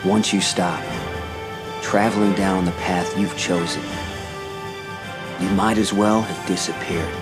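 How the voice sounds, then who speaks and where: A man's voice: low voice, fairly even intonation, slow pace, pauses often. A man playing a stoic, brooding warrior in a fantasy video game, cool and restrained. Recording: loud music under voice.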